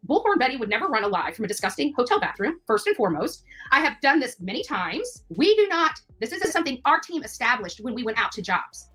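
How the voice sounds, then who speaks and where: Sassy, high-pitched woman ranting. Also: very animated, fast talker, pushed and bright, breathy edge. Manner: combative, sassy, scornful, calls target "babe" and "honey". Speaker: YouTube live streamer. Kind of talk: ranting livestream callout. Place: her home, alone.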